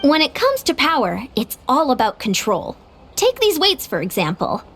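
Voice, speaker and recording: A woman voicing a calm, wise female coach who explains things in a measured, instructive way. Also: sits high, a little hiss or hum, heavily acted. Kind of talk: game voice acting.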